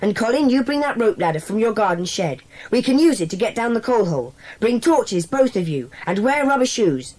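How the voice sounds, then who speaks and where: Commanding schoolboy gang leader played by a woman, high husky voice, brisk, for children's audio drama. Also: very animated, darkish tone, rough recording. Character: bossy, sensible schoolboy leader of a secret club.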